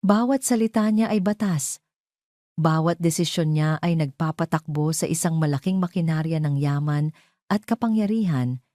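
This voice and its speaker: Female narrator, low and mellow, for scripted romance drama in a dry studio sound. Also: normal speed, no echo.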